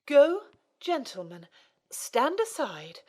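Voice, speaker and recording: A woman playing a serene, devout warrior nun, polite, formal and gently superior, in an audio drama. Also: sits high, very animated, takes it slowly, heavily acted. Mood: calm, encouraging.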